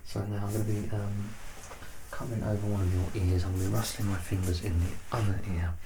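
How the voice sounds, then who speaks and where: A man speaking softly and gently, sometimes near a whisper, reassuring the listener as an examiner in an ASMR medical roleplay. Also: on the low side, level tone, noisy background. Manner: gentle, reassuring, unhurried instructions.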